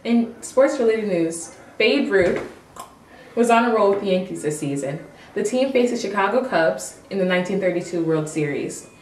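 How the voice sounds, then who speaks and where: A woman's voice: mid-range voice, expressive, normal speed. A woman reading a scripted amateur news report as a reporter. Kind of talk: scripted amateur mock newscast. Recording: echoey room, distant mic, faint noise.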